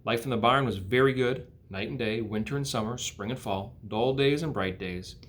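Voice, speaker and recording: An American man reads a children's storybook aloud. His voice sits at a medium pitch. He narrates as an educator would to pupils. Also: normal speed, a bit bright. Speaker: school educator reading aloud.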